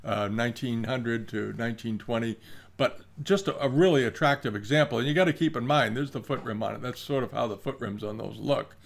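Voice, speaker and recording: A man gives unscripted commentary as an antiques host, haltingly, musing and repeating phrases. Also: mid-range voice, gravelly. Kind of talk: unscripted commentary on auction items. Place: furnished room at home, indoors.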